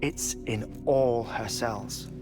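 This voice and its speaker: A man playing a calm, cerebral scientist in a video game cutscene, explaining things in a measured, precise way. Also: mid-range voice, music in the background. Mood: analytical.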